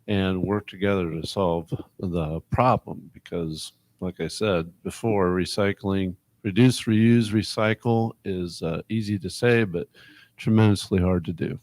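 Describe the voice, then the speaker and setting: A man answering as a mayoral candidate at a public forum, measured and hesitant. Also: low-pitched, darkish tone.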